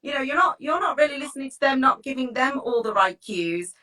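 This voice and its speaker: A woman's voice: sits high, lively intonation, a little fast, sharp tone. A woman giving an informal coaching talk in a chatty, encouraging way, often checking in with tag questions. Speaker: teacher wellbeing coach leading a talk. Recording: distant microphone.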